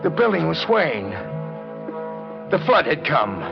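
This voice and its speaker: A hardboiled male killer tells his story in clipped, brooding phrases. The voice sits a little high for a man, in old radio crime style. The sound is dull and vintage, with heavy music. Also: big pitch swings, slow, gravelly, full character voice. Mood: tense, haunted. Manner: terse, clipped, hardboiled delivery. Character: cold-blooded male reporter narrating his murder, brooding. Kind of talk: 1940s radio crime drama, first-person hardboiled narration. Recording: muffled old radio recording, loud music.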